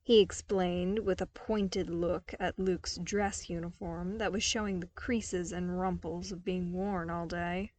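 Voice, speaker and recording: A woman's voice: fairly low pitch, fairly even intonation, unhurried, few pauses, darkish tone, slight background noise. A woman reading scripted fiction aloud as the narrator of an audio story. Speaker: podfic narrator.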